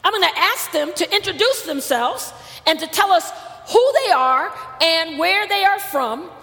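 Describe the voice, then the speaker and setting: High, bright-voiced woman pastor preaching. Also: lively intonation, measured pace. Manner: emphatic, calls out to congregation. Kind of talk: preaching and hosting a church panel. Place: church sanctuary. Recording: long hall reverb.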